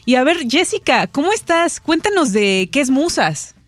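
A woman's voice: high voice, very animated, normal speed, no echo. A woman hosting a radio interview show, friendly and upbeat, using casual slang as she brings in her guests. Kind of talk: warm conversational interview.

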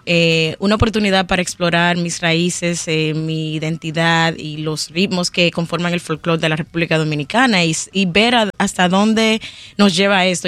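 Woman musician explaining her album, lots of ums, drops in english, middle pitch. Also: fairly bright tone, no room sound. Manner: thoughtful, many "eh" fillers, mixes in English. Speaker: musician guest. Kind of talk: interview guest.

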